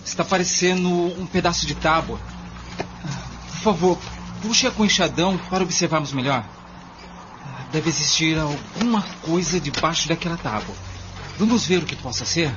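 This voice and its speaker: A man's voice: on the high side, lively intonation, moderate pace, natural voice. Actor as earnest man, muffled noisy recording. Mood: curious, directing. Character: earnest male neighbor leading a torchlit cellar dig. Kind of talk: amateur radio drama acting. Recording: muffled, clearly noisy radio drama recording.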